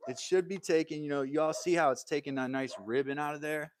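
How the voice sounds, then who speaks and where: A man's voice: a little high, a little fast, a little hiss or hum. A man hosting a casual woodworking livestream from his workshop, talking in an easygoing, rambling way and answering viewer comments. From United States.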